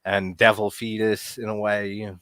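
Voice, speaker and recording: A man's voice: fairly low pitch, flat delivery, measured pace, fairly bright tone, no echo. A man in his thirties with a New York accent, chatting casually over a movie, laughing often and swearing freely. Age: 30s. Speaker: co-host of a movie watch party. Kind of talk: casual commentary chat.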